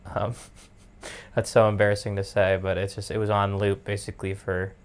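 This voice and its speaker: A young man in his twenties, losing his voice, rambling in a relaxed way as host of his own podcast from his bed at home. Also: lowish voice, moderate pace, clear, resonant tone. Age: 20s. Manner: relaxed, meandering, many fillers. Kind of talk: casual solo podcast monologue.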